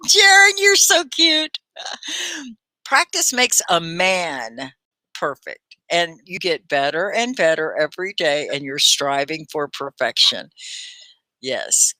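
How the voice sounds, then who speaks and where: A woman's voice: sits low, very animated, takes it slowly, bright, forceful tone, no echo. A middle-aged woman from Texas hosting a live online English lesson, explaining with animation and laughing often. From Texas, USA. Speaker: ESL teacher and show host.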